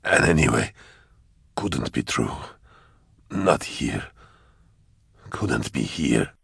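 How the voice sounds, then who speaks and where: A man voicing a mortally wounded hunter who gasps out broken, breathy phrases with faltering pauses in a storybook reading. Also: a bass voice, very animated, a bit bright, no room sound, studio quality, pitched below the performer's own voice, full character voice. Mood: dying, fearful. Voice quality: breathy, broken, halting whisper. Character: mortally wounded male hunter, gasping his last words.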